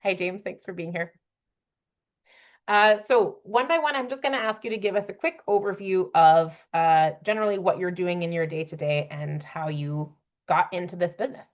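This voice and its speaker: A woman's voice: fairly low pitch, moderate pace. A woman moderating a panel discussion as its host, introducing and questioning the guests.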